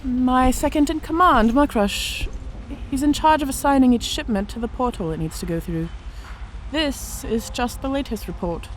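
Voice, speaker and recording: An actress playing a confident, teasing orc centurion mage in a fantasy audio drama, hospitable and self-assured. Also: female voice, sits high, moderate pace, a little hiss or hum, lightly acted, poor audio. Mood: matter-of-fact.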